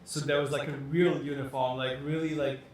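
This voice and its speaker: A man's voice: fairly high pitch, little pitch movement, brisk. A young man who grew up in Dubai, talking casually as a guest, rambling with lots of fillers. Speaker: fashion designer guest. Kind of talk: casual interview talk. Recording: echoey room with faint noise.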